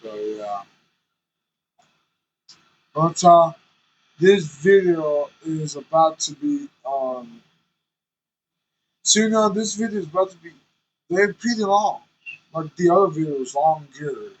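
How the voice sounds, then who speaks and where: A man's voice: a little high, lively intonation, slow pace, long pauses, a little hiss or hum, poor audio. A man vlogging candidly from the cab of his semi truck, rambling and self-conscious as he chats to viewers. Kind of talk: casual chat while driving.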